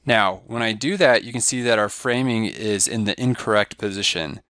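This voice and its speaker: A man giving a step-by-step software tutorial over a screen recording, walking through each click in a steady, instructive way. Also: lowish voice, normal speed, clean tone, dry recording, studio quality. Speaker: software trainer.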